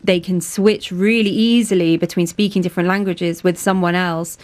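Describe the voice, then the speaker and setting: A woman's voice: lowish voice, fairly even intonation, normal speed, a little mellow. A woman journalist speaking conversationally as a guest, explaining what she has found out.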